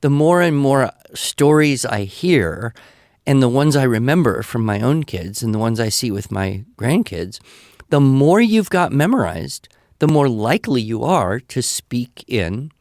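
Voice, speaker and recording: A man's voice: mid-range voice, lively intonation, moderate pace, a little mellow, dry recording. Older American man with a dry close sound. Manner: reassuring, explanatory. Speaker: podcast expert answering listener questions. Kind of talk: conversational advice.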